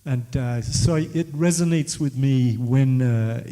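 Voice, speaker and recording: A man's voice: mid-range voice, slow, dark tone, in a large hall. A man from West Africa whose first language is Creole, asking questions and reflecting at a book launch. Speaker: audience member and author.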